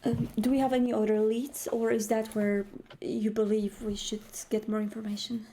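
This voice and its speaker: A woman playing a nervous, deferential young vampire in a tabletop roleplay, polite, halting and stammering. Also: fairly high pitch, level tone, dark tone, slight background noise, close to an everyday voice.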